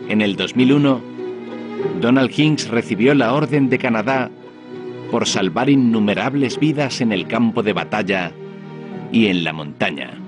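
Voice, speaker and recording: A man's voice: medium pitch, a little slow, pauses often. A man reading scripted narration in the style of a documentary. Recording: music playing behind the voice. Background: background music.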